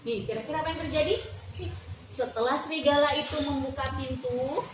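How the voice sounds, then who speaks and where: A young woman reading a fairy tale aloud to children, lively and often stopping to ask them questions. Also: sits high, fairly quick. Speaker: student teacher. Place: classroom. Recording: roomy classroom from far off, background noise.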